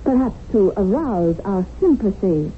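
A woman's voice: medium pitch, expressive, unhurried, close to an everyday voice. An actress as a stern young revolutionary woman, tinny radio. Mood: scornful. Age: young adult. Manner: intense, declamatory. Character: young female revolutionary fanatic, stern, righteous, unforgiving. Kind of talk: 1970s radio drama acting. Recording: tinny, hissy 1970s radio broadcast.

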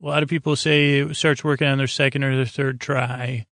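A man with a creaky voice hosting a bedtime podcast, meandering through whimsical tangents in halting, repetitive sentences that trail off mid-thought. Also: mid-range voice, little pitch movement, fairly bright tone, no room sound, clean studio sound. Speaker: podcast host. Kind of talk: rambling bedtime podcast monologue.

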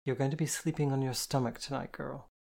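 A stern but tender woman, as voiced by the reader, giving calm, measured orders. Her firmness is gentle, steady and sure. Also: medium pitch, gravelly. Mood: firm, caring. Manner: firm, measured, gently commanding. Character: firm, caring dominant woman, calm and stern.

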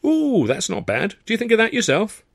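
Man putting on a high, chattering cheeky chorus girl. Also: wildly swooping pitch, brisk, creaky, dry recording, clean studio sound, full character voice. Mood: teasing. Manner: chatty, theatrical, teasing exclamations.

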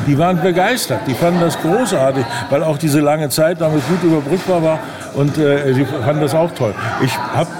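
A man being interviewed in casual conversation, speaking haltingly as he searches for his words. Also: on the high side. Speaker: interview guest, audio drama director. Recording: busy crowd around the voice. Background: chatter of a crowd.

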